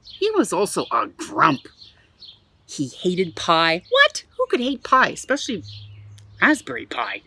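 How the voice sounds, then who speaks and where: A woman from Canada reading a children's picture book aloud in her backyard for a museum, playful and expressive, with exclaimed asides. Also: lowish voice, a little slow, long pauses, a little hiss or hum. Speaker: museum storyteller. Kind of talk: children's storybook read-aloud. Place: own backyard.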